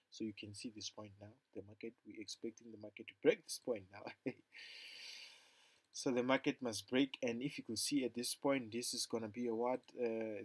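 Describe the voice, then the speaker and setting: Man with a lowish voice, casual chart tutor, rambling, loudness swings from loud to mumbled. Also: a little hiss or hum, rough recording. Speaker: forex trading tutor. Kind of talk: casual screen-recorded chart walkthrough.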